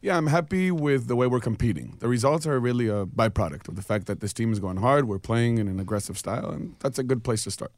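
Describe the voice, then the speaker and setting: A man's voice: medium pitch, animated, fast talker, few pauses, a little mellow, no room sound. A man who grew up in Israel, a basketball coach chatting as a guest on a radio show with dry, modest humor. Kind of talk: casual radio chat. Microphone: studio microphone.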